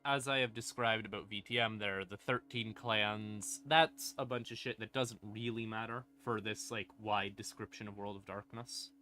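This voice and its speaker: A man hosting a livestream, explaining lore casually and wryly, in halting phrases broken by pauses and emphatic stresses. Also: on the low side, steady tone, sharp tone. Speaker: livestream host.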